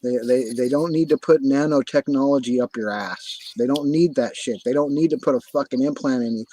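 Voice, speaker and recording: A man's voice: mid-range voice, fairly quick, dark tone. A man hosting a livestream, talking casually in a profane, repetitive rant. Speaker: livestream host.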